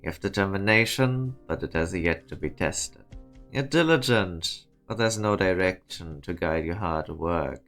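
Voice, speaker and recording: A man in an amateur fan dub playing a stern, demanding research director with a cold, imperious, measured manner. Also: deep voice, a bit bright, soft background music. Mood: stern disappointment.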